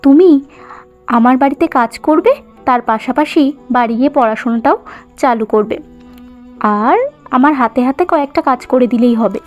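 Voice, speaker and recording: A woman's voice: high-pitched, normal speed, pauses often, lightly acted. A woman playing a kindly, dignified landlord's wife who speaks with emphatic, benevolent authority in a cartoon. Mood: approving, generous. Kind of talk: cartoon moral-story voice acting. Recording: muffled lo-fi audio with music bed.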